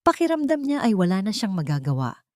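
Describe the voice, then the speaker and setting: A woman's voice: mid-range voice, very animated, a little fast, dry recording. A woman with a Filipino accent narrating a dramatic scripted story.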